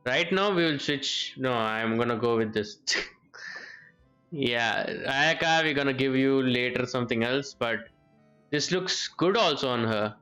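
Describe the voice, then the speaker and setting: A man's voice: medium pitch, a little slow, pushed and bright. A man giving casual live game commentary, thinking aloud and often repeating his phrases. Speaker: game streamer. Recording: game music behind the voice. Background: video game music.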